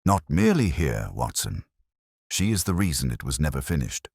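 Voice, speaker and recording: A man performs a brilliant detective in an audiobook mystery, with a very deep voice and animated intonation. He is formal, commanding and incisive, setting out each deduction with deliberate precision. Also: creaky, slightly rough, no echo, clean studio sound, full character voice.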